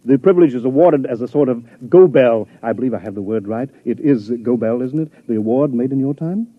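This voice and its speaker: A man's voice: medium pitch, lively intonation, brisk, dry recording, natural voice. A man playing a grandiose, fussy art scholar who has traveled back from the future, in a 1950s radio drama. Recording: muffled old radio broadcast.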